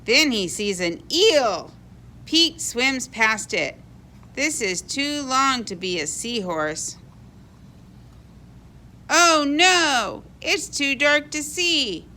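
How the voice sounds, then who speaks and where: A woman reading a children's picture book aloud in an expressive, animated way for young kids. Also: high voice, drawn-out words. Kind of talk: expressive read-aloud storybook narration.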